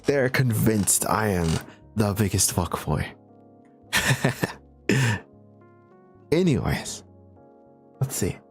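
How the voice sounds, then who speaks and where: A young man chatting casually on a livestream, answering viewer questions in a relaxed, chatty way with frequent laughs and fillers. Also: lowish voice, drawn-out words, pauses often, faint music behind. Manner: laid-back, chatty, laughs often, many fillers. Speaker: streamer.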